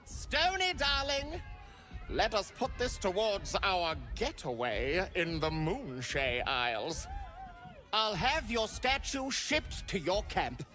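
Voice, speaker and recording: An actor playing a flamboyant merchant sculptor in a game, with a grandiose, gushing, drawn-out delivery. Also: male voice, high voice, big pitch swings, pushed and bright, faint music behind, heavily acted. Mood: pleased salesmanship. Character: flamboyant theatrical male statue sculptor and merchant. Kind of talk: theatrical game voice acting.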